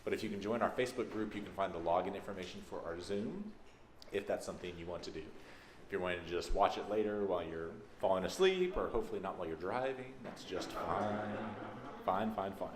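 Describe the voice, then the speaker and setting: Low-voiced Texan minister in an echoey sanctuary. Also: male voice, animated, a little fast. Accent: Texas. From Texas, United States. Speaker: minister leading a church service. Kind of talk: informal spoken welcome to a congregation. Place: large church sanctuary, indoors. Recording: echoey sanctuary with background noise.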